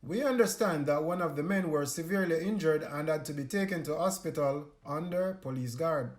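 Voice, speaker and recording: A man's voice: a little high, fairly quick. A Jamaican man reading a scripted news report as a reporter.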